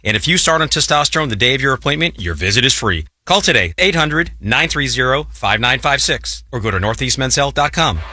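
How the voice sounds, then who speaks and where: A man's voice: mid-range voice, animated, fairly quick, few pauses, bright, forceful tone, no room sound. A man reading a scripted radio advert in a direct, persuasive way. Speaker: advert spokesman.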